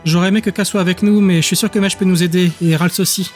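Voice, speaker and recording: A man voicing a gentle, hopeful young boy in an audio drama, speaking earnestly. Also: high-pitched, fairly even intonation, fast talker, soft background music, voice pushed up, close to an everyday voice.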